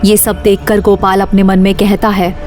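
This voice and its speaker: A woman's voice: medium pitch, monotone, normal speed, darkish tone, faint music behind. A woman reading scripted suspense narration for an audio drama series.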